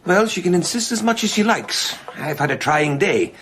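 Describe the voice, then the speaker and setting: A man's voice: high voice, very animated, moderate pace, fairly bright tone, a little hiss or hum, full character voice. A man playing a brusque, formal, sceptical Victorian doctor in a radio drama. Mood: brusque, irritated. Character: brusque, self-assured Victorian gentleman doctor, weary and sceptical.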